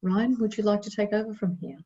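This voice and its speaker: A woman's voice: mid-range voice, fast, warm tone, slightly rough, muffled recording. A woman whose first language is Italian, giving an emphatic, chatty webinar presentation with fillers. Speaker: innovation presenter.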